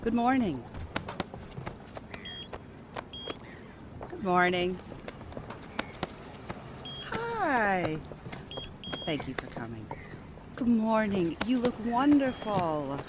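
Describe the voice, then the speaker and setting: A woman's voice: mid-range voice, huge pitch swings, slow, pauses often, noisy background. A woman playing a gracious, teasing former First Lady hosting guests in a game cutscene.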